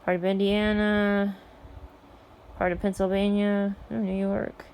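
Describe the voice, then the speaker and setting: Flat-toned American woman chatting offhandedly. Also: medium pitch, measured pace, a little hiss or hum, low-quality recording. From United States. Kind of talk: casual vlog chat.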